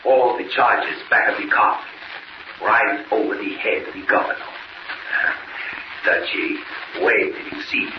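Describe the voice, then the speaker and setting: An actor playing a scheming, gloating villain with a Spanish accent and broken English in a 1940s radio serial. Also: male voice, lowish voice, slow, long pauses. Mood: confident, scheming. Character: scheming Spanish-speaking male villain plotting a bombing. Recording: noisy, muffled, echoey 1940s radio recording.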